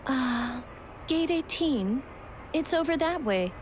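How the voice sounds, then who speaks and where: A woman playing a gentle, devoted young woman in love, speaking earnestly in a video game drama. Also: high voice, animated, roomy sound, a little hiss or hum. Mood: cheerful, friendly. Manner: tender, earnest, unhurried.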